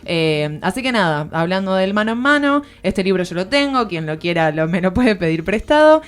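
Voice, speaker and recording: A woman's voice: medium pitch, big pitch swings, moderate pace, smooth. A woman with a Rioplatense Argentine accent talking books casually as a radio columnist, informal and opinionated. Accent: Rioplatense Argentine Spanish. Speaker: literary columnist on a radio show.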